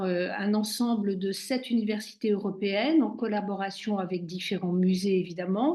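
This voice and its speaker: A woman hosting a webinar, introducing the session and its guest in a halting way with frequent fillers. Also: medium pitch, a little mellow. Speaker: host.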